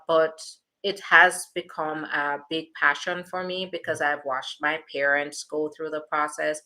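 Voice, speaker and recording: A woman's voice: deep voice, bright, forceful tone. A woman with a Jamaican accent, an immigration attorney, chatting through viewer questions in a reassuring way with plenty of fillers. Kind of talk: live Q&A reading viewer questions.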